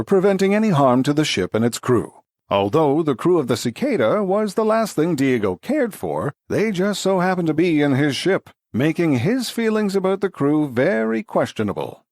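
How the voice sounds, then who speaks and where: Pedantic tongue-in-cheek space-comedy narrator acted by a man, highish voice, animated pitch, scripted storytelling. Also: normal speed, no echo, clean studio sound. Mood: wry, pedantic. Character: wry, pedantic comic storyteller of a space adventure.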